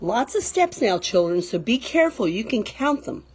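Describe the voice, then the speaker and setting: A woman's voice: on the high side, sing-song, normal speed, a little hiss or hum. A woman voicing a kindly, capable mother rat who takes charge in a children's storybook. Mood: instructing. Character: motherly, reassuring rat mother, sewer old-timer.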